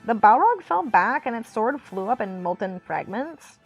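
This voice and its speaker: A woman's voice: on the high side, very animated, normal speed, husky, dull audio, soft background music, full character voice. A woman voicing a ditzy dumb-blonde girl reading an epic fantasy storybook, every phrase lilting up like a question. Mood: excited, dramatic. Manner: airy, questioning upspeak.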